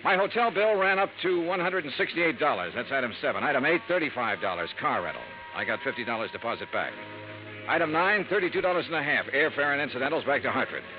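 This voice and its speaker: An American man playing a brisk, wry insurance investigator in a radio noir drama, with clipped, punchy delivery. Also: medium pitch, animated, lightly acted. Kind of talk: 1950s radio noir acting with first-person narration. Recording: muffled old radio recording with music.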